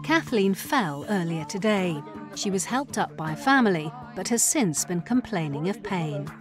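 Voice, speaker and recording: A woman calmly narrating a documentary in a scripted, even way. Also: lowish voice, unhurried, music in the background.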